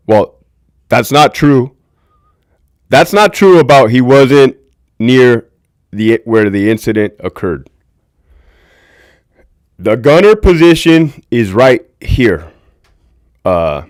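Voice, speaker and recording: Brief: deep-voiced man, livestream host, lively swinging pitch, dry close desk mic. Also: slow, pauses often, no echo. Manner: candid, profane, talks to chat viewers. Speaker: livestream host, former prison officer. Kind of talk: casual livestream commentary.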